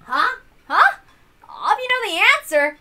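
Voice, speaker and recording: A woman's voice: very high-pitched, big pitch swings, measured pace, sharp tone, voice pushed up, exaggerated voice. A woman voicing a brash, easily flustered young man, exclaiming informally in exasperation in a visual novel reading.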